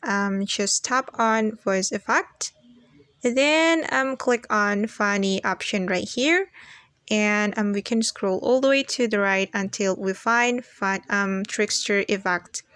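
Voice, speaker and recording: A woman hosting a casual step-by-step software tutorial as a voice-over. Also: mid-range voice, takes it slowly, clear, resonant tone, no room sound. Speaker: tutorial host.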